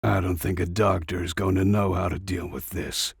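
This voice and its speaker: A man voicing a weary, gruff, stubborn male soldier in an audiobook story. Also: sits low, level tone, moderate pace, dry recording, studio quality, heavily acted. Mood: dry, weary.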